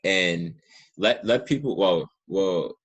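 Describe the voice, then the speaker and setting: A man's voice: deep voice, slow, breathy edge. A man hosting a casual interview, talking in an earnest, informal way and often repeating himself. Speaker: podcast host interviewing a guest.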